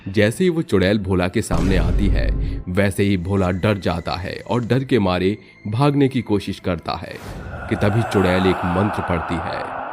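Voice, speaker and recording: A man narrating a scripted horror story for a cartoon storybook channel, steady and dramatic. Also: sits low, unhurried, over music.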